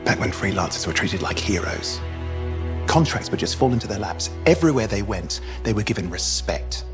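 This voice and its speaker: An actor playing a restless, eager young sidekick in a sci-fi video game, chatty and fussy. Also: male voice, on the low side, sing-song, normal speed, music in the background. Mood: wistful. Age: young adult. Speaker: cipher who assists a pilot.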